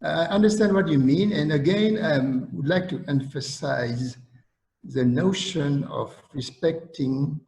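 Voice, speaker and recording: A man with a Senegalese accent giving an online lecture on surgery, speaking deliberately and halting with fillers. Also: a little high, vocal fry, darkish tone, echoey room.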